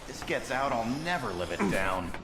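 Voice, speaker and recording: A man playing a gruff, grumbling supervillain henchman with a gravelly voice in an animated video game. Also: mid-range voice, moderate pace, very noisy. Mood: indignant. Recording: echoey, loud game score behind.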